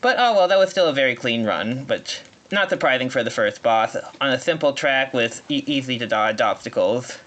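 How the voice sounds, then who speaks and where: A man giving live speedrun commentary, explaining as he plays in a halting way, often rephrasing mid-sentence. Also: medium pitch, expressive, fairly bright tone. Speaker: speedrunner playing at a marathon.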